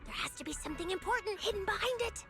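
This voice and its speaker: Eager young officer girl in a game, super high lively voice, talks dramatic, music under it. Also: quick pace. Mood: eager, suspicious. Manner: animated, theatrical. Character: eager, chatty young female public security officer. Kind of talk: video game voice acting.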